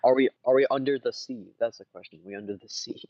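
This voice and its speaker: A dull, lo-fi but dry recording of a man co-commentating a card game. He talks casually at an ordinary pitch, in short remarks that he tends to repeat. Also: fast talker, dark tone, no room sound.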